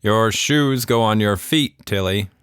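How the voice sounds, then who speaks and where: A man's voice: on the low side, a little slow, a bit bright, smooth, no room sound, clean studio sound. A man voicing a busy, unsmiling, weary dad in a children's story. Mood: flat, weary.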